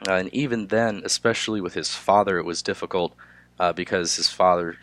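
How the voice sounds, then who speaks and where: A young man, hoarse from a cold, giving a class presentation with frequent "uh" fillers. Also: low-pitched, a bit bright. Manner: halting, many filler "uh"s. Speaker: student presenter.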